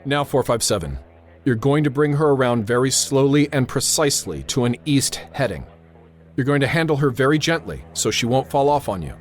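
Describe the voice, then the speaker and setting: An actor playing a tense, authoritative airfield controller in a radio drama, narrating and giving orders with clipped urgency. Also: male voice, mid-range voice, lively intonation, brisk, a little hiss or hum, natural voice. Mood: stern, insistent. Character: tense, commanding male airfield tower controller narrating a crisis.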